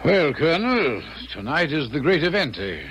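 A man playing a polite gentleman visitor in a 1970s radio drama, on a noisy old recording. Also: a little high, very animated, moderate pace, faint music behind. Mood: genial. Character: polite, smooth-talking gentleman visitor, easily flustered.

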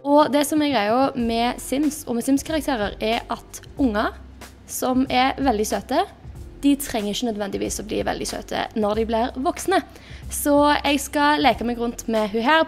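A young woman giving casual, chatty commentary as she plays, thinking aloud as she picks options, recorded at a desk in her bedroom. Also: on the high side. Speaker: gaming YouTuber.

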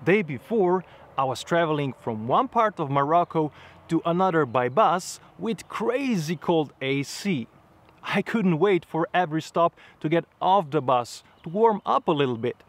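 A man in his thirties talking straight to camera outdoors in front of trees, explaining his experience in an earnest, measured way with slightly unusual phrasing. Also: fairly high pitch, expressive. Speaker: travel vlogger.

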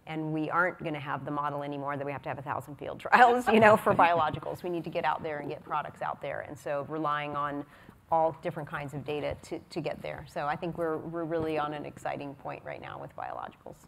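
A woman panelist speaks smoothly and upbeat at a conference session. Her voice is very low for a woman. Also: quick pace.

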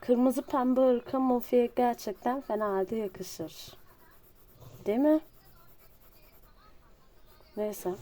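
A person chatting casually to viewers while drawing, halting and often breaking off mid-thought. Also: mid-range voice, warm tone. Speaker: host of a drawing channel.

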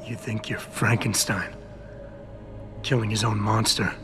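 An actor playing a stern, indignant action hero in a video game, speaking in a terse, intense, accusing manner. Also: male voice, fairly low pitch, fairly even intonation, measured pace, a bit bright. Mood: grim defiance. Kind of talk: video game cutscene acting. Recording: roomy game audio with clear music.

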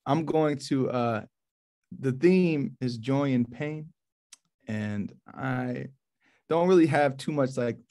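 A man's voice: medium pitch, darkish tone. Male curator from New Orleans, thirties, mid-range voice, informal and slangy, feeling his way through sentences. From New Orleans, USA. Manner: laid-back, halting, slangy. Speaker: poetry reading curator. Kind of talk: casual, informal introduction. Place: small office, indoors. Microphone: video call, computer at arm's length.

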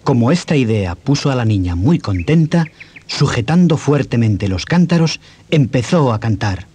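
A man's voice: mid-range voice, very animated, normal speed, a little mellow, breathy edge. A man with a Castilian Spanish accent narrating a scripted children's story on an old cassette. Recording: 1980s cassette, music behind.

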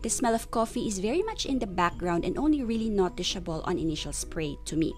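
A woman's voice: medium pitch, big pitch swings, fast talker. Reviewer, female, young adult, from the Philippines: eager, descriptive delivery over faint background music. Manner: enthusiastic, descriptive, stretches words for emphasis. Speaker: perfume reviewer. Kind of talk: friendly scripted product review.

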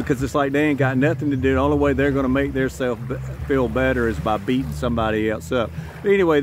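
Southern man, 50s, plainspoken vlogger, profane and rambling, phone mic outdoors with clear ambient noise. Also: mid-range voice. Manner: blunt, profane, rambling with many "you know"s. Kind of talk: casual vlog monologue. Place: outdoors on a tropical beach. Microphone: phone camera at arm's length.

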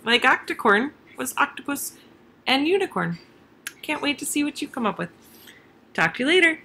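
A woman in her thirties talks to children in an encouraging, casual way. Her intonation is very lively, and she is recorded at home with noticeable room noise. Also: high voice, moderate pace, gravelly. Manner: expressive, encouraging, addressing children. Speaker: read-aloud presenter. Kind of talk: casual children's read-aloud introduction. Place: small furnished room at home.

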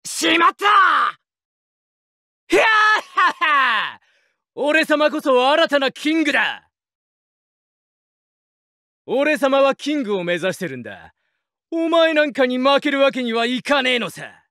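Cocky young punk duelist, anime game, wildly swooping delivery, forceful, rough boastful slang, showboating. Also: male voice, very high voice, very animated, takes it slowly, long pauses, dry recording, clean studio sound, exaggerated voice. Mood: frantic shouting, then cocky, scornful. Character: brash, cocky punk male card duelist, young adult, loud showboat. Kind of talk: anime video game battle voice lines.

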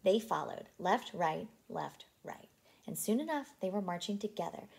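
A woman reading a picture book aloud to children in an expressive way, as a childcare teacher who puts questions to her listeners. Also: medium pitch, normal speed, vocal fry.

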